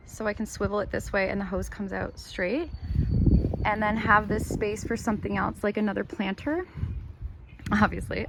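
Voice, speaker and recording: A woman's voice: mid-range voice, fairly bright tone, rough recording. A Canadian woman from Ontario giving a rambling, chatty walkthrough of her garden, gushing over her plants. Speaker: gardening vlogger. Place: outdoors in a home garden. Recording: outdoor noise behind voice.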